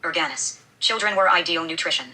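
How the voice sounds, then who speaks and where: Woman narrating a novel, low voice, really sharp and bright, reads quick. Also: rough recording. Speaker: audiobook narrator. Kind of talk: scripted fiction narration.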